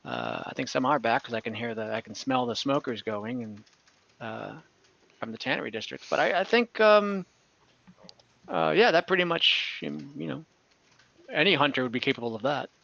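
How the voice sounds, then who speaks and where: Guy doing a chill bartender, rambly, kinda bright voice, dry muffled call audio. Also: medium pitch, lively intonation, moderate pace, vocal fry. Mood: casual. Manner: easygoing, rambling with fillers. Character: gruff-friendly male tavern bartender. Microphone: video call mic.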